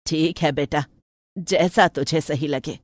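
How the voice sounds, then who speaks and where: Village mother, older, opinionated but loving, homely scolding manner, lowered pitch, played by a female narrator. Animated moral tale. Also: slow pace, no room sound. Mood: advising. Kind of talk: animated moral story dialogue.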